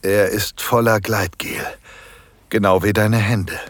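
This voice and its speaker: An actor playing an eager, submissive married man in an intimate erotic audio drama, speaking in a husky, breathy, halting voice. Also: lowish voice, level tone, no room sound, full character voice. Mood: tense anticipation. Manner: slow, hushed, halting with moans. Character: submissive married man, construction foreman, eager, aroused, pleading.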